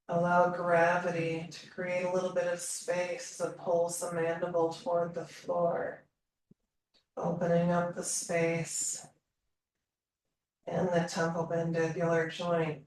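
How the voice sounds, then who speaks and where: A woman leading a slow guided meditation in a calm, soothing manner, leaving long pauses between phrases. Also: lowish voice. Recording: roomy echo, distant mic, faint noise.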